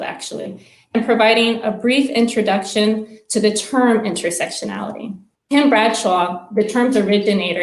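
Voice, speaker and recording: A woman moderating a panel over a video call, leading the talk in a measured, clear way. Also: medium pitch. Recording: echoey room sound.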